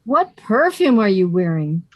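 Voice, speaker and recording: A woman's voice: medium pitch, sing-song, a little slow, no echo, poor audio. A woman playing a sensible, wisecracking young woman with a dry, sardonic delivery in a radio comedy.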